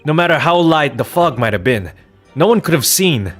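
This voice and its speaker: A man's voice: on the high side, expressive, normal speed, a bit bright, soft background music, voice pushed up. A man voicing an earnest, polite and hesitant young defense lawyer in a courtroom video game. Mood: insistent. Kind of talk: dramatic video game dub.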